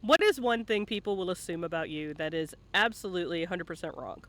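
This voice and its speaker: A man's voice: high voice, lively intonation, fast talker, a bit bright. A man hosting a casual live interview, teasing and jokey, often repeating phrases for fun. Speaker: interviewer, stream host.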